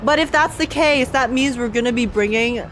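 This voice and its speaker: High-voiced playful woman streamer over game music. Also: normal speed. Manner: playful, animated, expressive. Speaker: gaming streamer. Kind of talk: live game reaction commentary with dramatic reading aloud.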